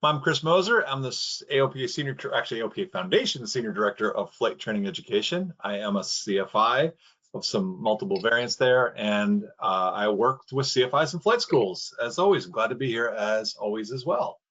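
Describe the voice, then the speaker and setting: A man co-hosts a webinar over a call, dropping quick jokes and banter. His voice has a husky edge. The sound is a little muffled. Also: mid-range voice, lively intonation, fairly bright tone. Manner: quick, joking interjections. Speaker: co-host and flight instructor. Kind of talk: casual banter. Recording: slightly muffled webinar audio.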